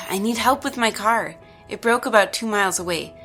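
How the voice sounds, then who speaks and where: A stranded female traveller played by an actress with a bright, crisp-edged voice. She sounds hesitant and doubtful, with some impatience, in an audio drama with music behind. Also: mid-range voice, normal speed. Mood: impatient.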